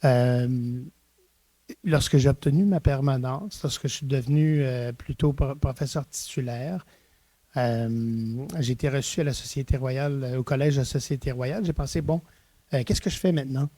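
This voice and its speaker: A middle-aged man with a mellow, warm tone speaks in a relaxed interview. He tells anecdotes with lively bursts and frequent hesitant restarts. The sound is close and dry. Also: medium pitch, moderate pace, dry recording. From Eastern Ontario, Canada. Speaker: university rector, guest. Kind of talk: reflective conversational interview.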